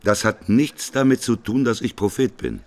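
A man's voice: lowish voice, very animated, normal speed, darkish tone. A man playing a weary, grumbling prophet in a radio play, answering with grudging, sardonic retorts. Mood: indignant. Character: weary, grumbling male prophet, reluctant and argumentative.

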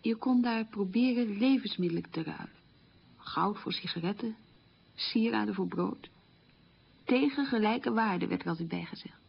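An actress playing a reflective middle-aged woman recalling wartime childhood hunger in a halting, emphatic radio monologue, with a husky, creaky voice. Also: mid-range voice. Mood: reflective, candid. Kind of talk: radio play monologue, conversational reminiscence. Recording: dull lo-fi radio recording.

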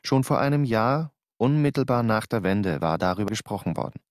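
A man playing a calm, low-voiced narrator. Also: fairly quick, no room sound, clean studio sound, natural voice. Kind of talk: first-person radio drama narration.

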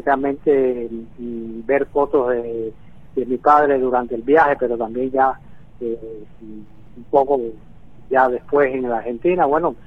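A man in his sixties, born in Venezuela, speaking by phone from Havana in a measured way with frequent hesitations. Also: medium pitch, no room sound. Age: 60s. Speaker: guest. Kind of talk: phone interview. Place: in Havana, Cuba. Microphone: telephone. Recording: tinny phone line.

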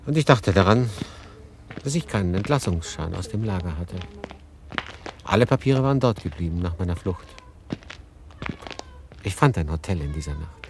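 An actor playing a reserved, weary German refugee man in a radio drama, speaking in a plain, measured way. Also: low-pitched, lively intonation, a little hiss or hum. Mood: subdued, matter-of-fact. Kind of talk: radio drama monologue and dialogue.

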